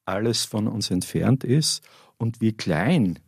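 An Austrian man speaks as an academic interview guest, explaining things. His voice is low and husky with a creaky edge, picked up dry in a studio. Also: expressive, slow, a little mellow, no room sound. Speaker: interview guest, astrophysics professor. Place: small radio studio at a school. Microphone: studio microphone.

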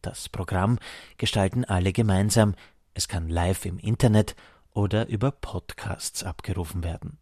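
A man's voice: deep voice, moderate pace, darkish tone, no echo, studio quality. A man reading scripted narration as the reporter of a radio feature.

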